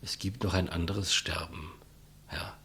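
An actor playing a humble, despised executioner in a 1950s German radio play, deferential, plain-spoken and reflective. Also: male voice, sits low, little pitch movement, a little slow, sharp tone, slightly rough, heavily acted. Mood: calm, humble. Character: humble, despised old-school male executioner, quietly matter-of-fact. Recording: muffled vintage 1959 radio recording.

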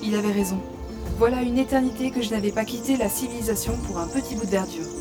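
A woman's voice: fairly high pitch, quick pace, natural voice. An actress playing a curious, teasing young gamer who narrates her adventure as a novice ranger in an audio drama. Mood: absorbed, reflective. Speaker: narrator and lead character. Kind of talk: first-person audio drama narration with dialogue. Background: prominent music.

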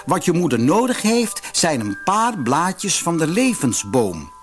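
A man voicing a kind good fairy with a soft, sweet and calm manner in a fairy tale audiobook. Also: fairly high pitch, a little slow, soft background music, pitched above the performer's own voice. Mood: reassuring. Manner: calm, reassuring.

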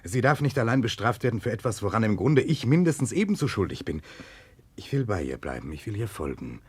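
An earnest nobleman set on atonement, in the manner of 1960s German radio drama. The voice is very low and somewhat husky. He speaks with grave, deliberate insistence. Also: big pitch swings, darkish tone. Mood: urgent, remorseful. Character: remorseful, earnest Russian prince resolved to atone.

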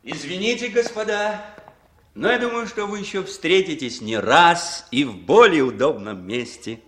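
An actor playing a polite, insinuating go-between for secret dealings in a 1960s Soviet radio drama. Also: male voice, on the high side, expressive, moderate pace, fairly bright tone. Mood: courteous, insinuating.

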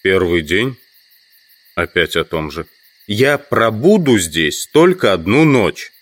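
A man's voice: on the low side, sing-song, slow, full character voice. An actor playing a proud, doubtful visiting man in an audio drama, questioning and slightly condescending. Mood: insistent.

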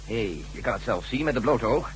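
Man acting a fast-talking, commanding general. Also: medium pitch, animated, natural voice. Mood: dry, calm. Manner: brisk, commanding, drily teasing. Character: brisk commanding male general, cool-headed and dry. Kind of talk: Dutch science-fiction radio drama acting. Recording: noisy hissy old radio recording.

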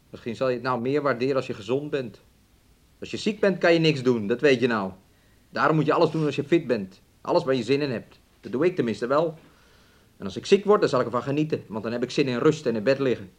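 A male actor plays a possessive man in a radio drama, with a medium-pitched voice. He coaxes and needles, repeating his questions and insisting on control over his fiancée. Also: animated, a little fast, natural voice. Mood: coaxing, controlling. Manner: coaxing, needling, repetitive questions, refers to himself in third person. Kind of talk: Dutch radio play acting.

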